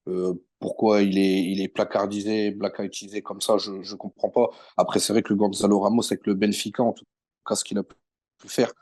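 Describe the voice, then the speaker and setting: Lowish-voiced Frenchman talking football, dry sound. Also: a little mellow, slightly rough, no room sound. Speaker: guest football pundit. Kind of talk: casual sports commentary.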